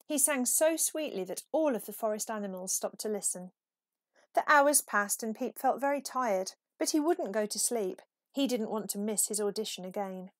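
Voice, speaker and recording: A woman reading a children's picture book aloud as an expressive, animated storyteller. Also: mid-range voice, moderate pace, no room sound, clean studio sound. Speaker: storybook reader.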